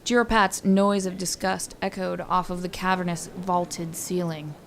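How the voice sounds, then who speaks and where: A woman's voice: lowish voice, steady tone, measured pace, a little hiss or hum. A woman storyteller reading scripted fantasy narration in an audiobook manner.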